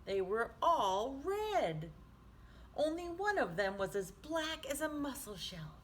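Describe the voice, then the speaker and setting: Animated, slow-paced woman reading to children. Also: high-pitched, a little hiss or hum. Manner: animated, sing-song, with hushed asides to listeners. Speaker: children's storytime host. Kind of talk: expressive picture-book read-aloud for young children.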